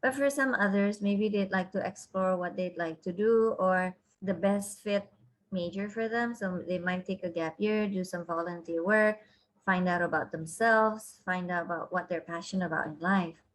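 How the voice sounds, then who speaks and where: Measured, thoughtful counselor woman. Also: on the low side, rough recording. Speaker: university counselor. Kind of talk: interview answers.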